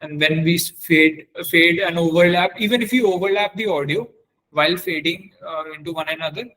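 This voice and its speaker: A man teaching in a webinar, demonstrating software step by step and often halting to search for words. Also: fairly high pitch, rough recording. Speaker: webinar trainer.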